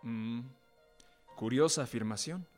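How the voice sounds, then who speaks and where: An actor in a radio drama playing an earnest, idealistic young law apprentice with a theatrical, deliberate delivery. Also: male voice, medium pitch, natural voice. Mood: thoughtful. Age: young adult. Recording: guitar music behind the voice. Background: guitar music.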